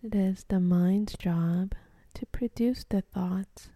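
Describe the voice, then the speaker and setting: A woman leading a guided meditation, speaking softly and breathily with gentle, unhurried instructions and pauses. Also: mid-range voice, no room sound.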